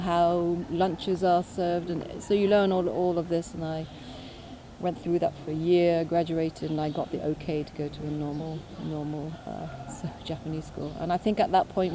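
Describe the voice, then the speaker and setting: A middle-aged woman from the UK, answering interview questions thoughtfully, pausing and restarting often as she searches for words. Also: lowish voice. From United Kingdom. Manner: hesitant, many pauses and restarts, thoughtful. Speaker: documentary interviewee. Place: outdoors in a city park or street. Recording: distant mic with outdoor noise. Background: city ambience, birds, passing traffic.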